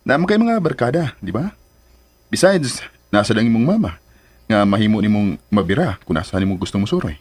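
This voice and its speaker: A gentle, slightly awkward man in a radio drama. His husky voice moves expressively and stumbles now and then, in a dry, close recording. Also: fairly low pitch, animated, brisk, no echo. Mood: easygoing. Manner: hesitant stammers, code-switching into English. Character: kindly male civil engineer, gentle, slightly awkward confidant. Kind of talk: Filipino radio drama acting.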